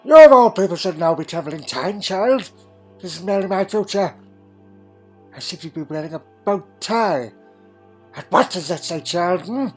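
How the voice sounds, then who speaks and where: A man's voice: high voice, lively intonation, normal speed, a little mellow, faint music behind. A man playing a crotchety, dry-witted elderly time traveller in a fan audio drama, fussy and full of questioning hums. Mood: tetchy, musing. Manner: fussy, punctuated with questioning hmms.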